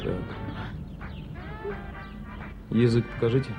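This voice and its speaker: An actor in a radio drama playing a conscientious, brisk male doctor who muses and insists. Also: medium pitch, mellow, natural voice. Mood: concerned, insistent. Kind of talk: Soviet radio drama acting. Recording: 1980s radio play with music. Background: music under the voice.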